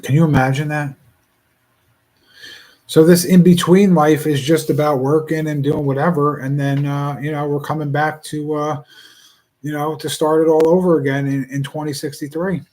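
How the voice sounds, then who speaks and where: A man in his forties speaking in a casual reflective monologue, rambling and halting with many restarts. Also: fairly high pitch, flat delivery, darkish tone. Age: 40s.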